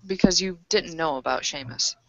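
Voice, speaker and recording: A woman with a slightly low voice talks through a tabletop game, questioning and piecing things together as she goes. The sound is very dry and a bit dull. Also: moderate pace, sharp tone, no room sound. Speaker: role-playing game player. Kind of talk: casual improvised game talk.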